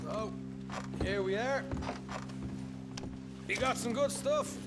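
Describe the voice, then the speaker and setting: A young outlaw with a high voice, boastful and full of cheek. He chatters briskly over loud music, like a character in a western video game. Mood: cocky.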